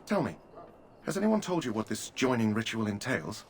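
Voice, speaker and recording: A man's voice: mid-range voice, sing-song, moderate pace, slight background noise, close to an everyday voice. A man voicing an earnest young male knight in a video game, speaking formally and a little stiffly. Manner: formal, old-fashioned, earnest. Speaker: game character.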